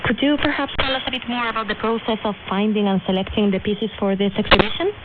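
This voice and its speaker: A woman radio interviewer putting prepared questions in a polite, measured way over shortwave. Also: mid-range voice, steady tone. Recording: tinny shortwave radio with static. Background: shortwave static and clicks.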